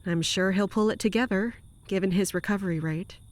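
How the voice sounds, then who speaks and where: A woman playing a weary, guarded castle commander in an audio drama, with a restrained, measured and terse way of talking. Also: medium pitch, lightly acted. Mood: dry, evasive.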